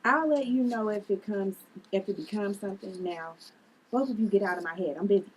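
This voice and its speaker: A woman playing a guarded, reluctant sister with superpowers in a table read, in a husky voice. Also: mid-range voice, brisk, a little mellow, slight background noise, natural voice, poor audio. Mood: irritated, reluctant.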